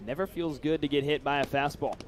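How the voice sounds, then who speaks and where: A man's voice: on the high side, steady tone, a little fast. A man doing live baseball play-by-play commentary as the announcer.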